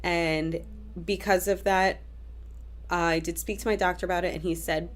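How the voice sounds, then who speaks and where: A woman's voice: on the low side, fairly even intonation, normal speed. A young woman with a stuffy nose from a cold, chatting casually in a vlog update with frequent fillers and restarts. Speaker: vlogger.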